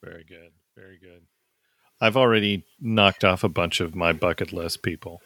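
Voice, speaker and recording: An easygoing man with a low voice chats casually, dropping quick affirmations. The sound is dry and close, with no room around it. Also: fairly bright tone, no room sound. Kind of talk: casual conversation.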